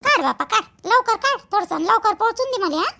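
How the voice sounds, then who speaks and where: A woman's voice: extremely high pitch, expressive, a little fast, vocal fry, bright, forceful tone, heavily acted. A woman voicing a bossy, impatient village woman in an amateur cartoon, nagging and repeating herself with a Vidarbha Marathi accent. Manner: nagging, repetitive.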